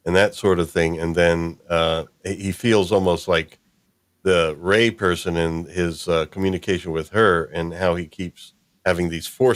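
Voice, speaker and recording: A man's voice: low voice, no room sound. A man hosting a casual discussion about a story, talking in an informal, hesitant, rambling way. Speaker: podcast host.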